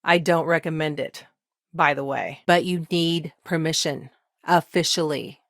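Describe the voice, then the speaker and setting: Middle-aged woman lawyer, video game dialogue, lowish pitch, wry, deadpan-practical, determined, dry studio sound. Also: no echo. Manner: dry, wry, matter-of-fact. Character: seasoned female environmental lawyer, dry, principled and determined.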